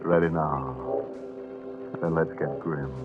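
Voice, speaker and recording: An actor playing a ghoulish, mock-friendly horror host in 1940s radio style, drawing out his words with theatrical, macabre relish. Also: rumbling low. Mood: mock-friendly, morbid. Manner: theatrical, drawn-out, macabre deadpan humor. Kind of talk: 1940s radio horror hosting and scripted narration. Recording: tinny old-time radio recording with music.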